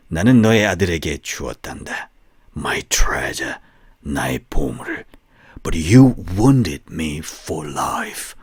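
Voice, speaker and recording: A man voicing a wounded, vengeful snake in a storybook, speaking gravely and reproachfully in a hushed voice. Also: no room sound, studio quality, heavily acted. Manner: low, hushed, slow and solemn.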